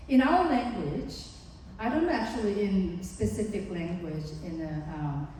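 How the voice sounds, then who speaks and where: A woman's voice: mid-range voice, measured pace, dark tone, slight background noise. A woman with a Korean accent giving an earnest, explanatory seminar talk to parents as a psychologist, acting out examples as she goes. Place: large conference hall. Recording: distant, echoey hall.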